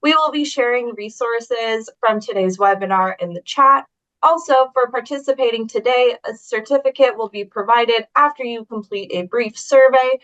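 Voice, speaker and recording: A woman moderating a webinar, reading announcements and guiding participants smoothly. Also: on the high side, normal speed, fairly bright tone. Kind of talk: scripted announcements and guidance. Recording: dull lo-fi webinar audio.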